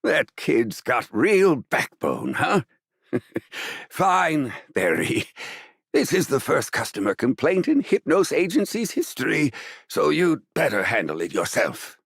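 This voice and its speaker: A man playing a brash, teasing, world-weary funeral agency boss in an animated game, with a gravelly voice and a theatrical manner. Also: fairly high pitch, takes it slowly, dry recording, clean studio sound, full character voice. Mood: teasing, mock stern.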